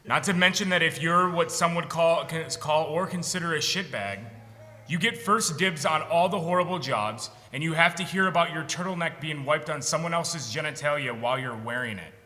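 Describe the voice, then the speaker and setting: A man's voice: fairly high pitch, moderate pace, sharp tone. A young American man, a veteran, reading a frank, sardonic personal monologue on a theatre stage. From United States, Michigan. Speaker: military veteran performer. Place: theatre stage in an arts center. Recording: reverberant hall with music behind.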